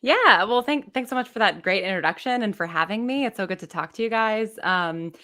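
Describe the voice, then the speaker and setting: A woman's voice: medium pitch, lively intonation, a little fast, pushed and bright. A woman speaking as a podcast guest in a casual interview on a video call, chatty and enthusiastic, with frequent fillers. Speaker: podcast guest, fiction writer.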